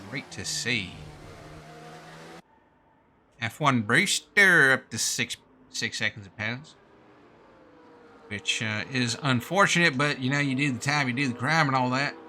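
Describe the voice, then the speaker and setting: A man's voice: mid-range voice, sharp tone, a little hiss or hum. A man doing live sim-racing commentary in a put-on American accent, informal and slangy, with casual asides. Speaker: race commentator and streamer.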